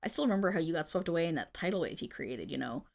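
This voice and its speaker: A woman's voice: low-pitched, lively intonation, very fast, creaky, darkish tone, exaggerated voice. A woman playing a theatrical but bashful, lovestruck female supervillain in an ASMR roleplay audio drama. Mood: eager, proud. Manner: theatrical villain declaiming that flips into flustered, affectionate chatter.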